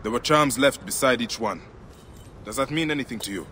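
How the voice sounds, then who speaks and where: An actor playing a stern, principled male desert warrior and protector in a video game, speaking in a formal, measured way. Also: medium pitch, sharp tone, slight background noise, lightly acted. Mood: grave, measured. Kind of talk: dramatic game cutscene dialogue.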